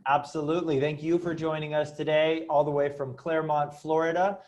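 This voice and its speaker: A man's voice: a little high, flat delivery, brisk, a little mellow. A young man hosting an interview, friendly and affirming, often restarting his questions mid-sentence. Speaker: interview host.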